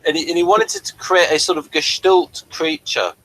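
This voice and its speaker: A man hosting a casual review discussion, speaking in a halting, hesitant way. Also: on the high side, expressive, a bit bright, no room sound, poor audio. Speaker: review show host.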